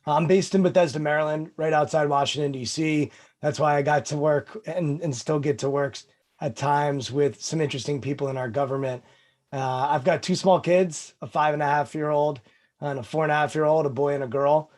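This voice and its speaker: A man's voice: on the high side, fairly even intonation, brisk, darkish tone. A man in his thirties, a mental performance consultant, speaking in an earnest, friendly way on a video call. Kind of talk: panel discussion on a video call.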